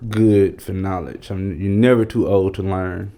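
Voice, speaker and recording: Male, 40s, podcast guest. Deep-leaning, very mellow delivery, conversational and slangy. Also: steady tone, measured pace. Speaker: podcast guest, brand strategist and producer.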